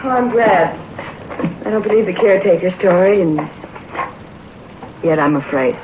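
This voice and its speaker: Tinny hissy old radio, actress as scared young wife, fretful and pleading, 50s horror acting. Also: female voice, fairly low pitch, expressive, moderate pace, natural voice. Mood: uneasy. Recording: tinny noisy vintage radio recording.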